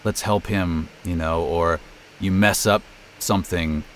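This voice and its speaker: A man's voice: low-pitched, fairly even intonation, measured pace, slight background noise. A middle-aged American man chatting casually in an interview as a voice actor and narrator. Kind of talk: casual interview, telling career story.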